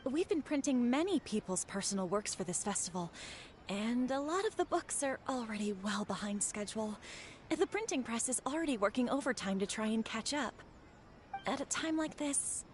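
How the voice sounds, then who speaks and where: A woman playing a courteous, businesslike adult clerk in a video game, with measured, polite speech. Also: fairly high pitch, expressive, a little hiss or hum, lightly acted. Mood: regretful, firm. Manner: courteous, apologetic, measured wording. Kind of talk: video game character dialogue.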